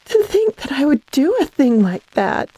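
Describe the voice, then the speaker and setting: A woman playing a haunted, hesitant young heiress in a radio horror drama, speaking in a halting, dramatic way. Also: sits high, very animated, mellow, no echo, poor audio. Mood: haunted, ashamed.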